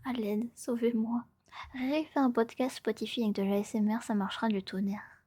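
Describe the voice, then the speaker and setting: A woman in her twenties chatting casually and playfully as a live streamer, often in a soft, breathy ASMR whisper and repeating her phrases. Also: fairly high pitch, fairly even intonation, moderate pace. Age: 20s.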